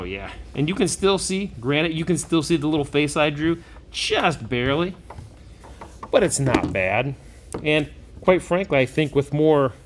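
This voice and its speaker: A man giving a casual hands-on product review, enthusiastic and folksy, thinking out loud as he goes. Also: mid-range voice. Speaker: game shop owner and product reviewer. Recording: clearly noisy room recording.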